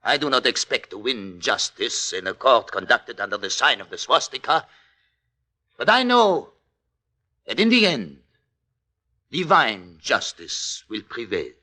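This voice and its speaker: An actor playing a defiant French parish priest facing an enemy court, declaiming emphatically in 1940s radio drama style. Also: male voice, mid-range voice, sing-song, unhurried, long pauses, sharp tone, heavily acted. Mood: solemn defiance.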